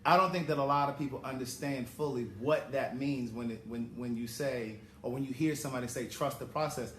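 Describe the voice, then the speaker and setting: A man's voice: mid-range voice, a little fast, a bit bright, echoey room, slight background noise. A man in his forties on a talk show, reflective and giving blunt advice. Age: 40s. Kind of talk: casual talk show chat.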